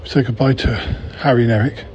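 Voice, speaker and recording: A male podcast host with a croaky voice, chatting casually to listeners while waiting for a train. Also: mid-range voice, steady tone, quick pace, a little mellow. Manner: chatty, rambling asides. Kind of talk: casual vlog-style update to listeners. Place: underground train platform. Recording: muffled recording in a busy station.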